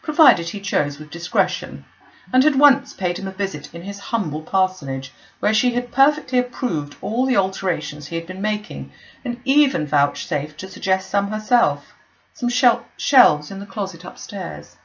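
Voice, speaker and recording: A woman reads a classic novel aloud, her voice rising and falling in a lively, animated way. The recording is dull and lo-fi, like a simple home setup. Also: mid-range voice, normal speed. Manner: expressive, animated reading. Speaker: audiobook reader.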